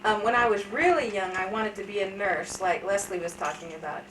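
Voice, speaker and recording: A woman's voice: mid-range voice, fairly quick, fairly bright tone, distant mic. A woman in her thirties telling candid, funny stories about her life in a casual family interview. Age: 30s. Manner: chatty, candid storytelling with self-deprecating humor. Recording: echoey room, voice from off-mic, light hiss.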